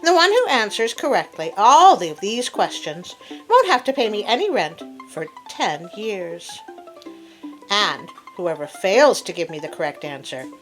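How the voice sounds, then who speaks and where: A woman voicing a haughty, demanding nobleman in a storybook fable, with a commanding, deliberate manner. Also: medium pitch, big pitch swings, fairly bright tone, faint music behind, poor audio. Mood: imperious.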